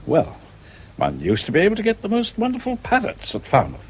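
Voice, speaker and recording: An actor playing a genial, dry English doctor with an English accent and a courteous, musing manner. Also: male voice, high-pitched, slight background noise, heavily acted, low-quality recording. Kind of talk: British radio drama acting.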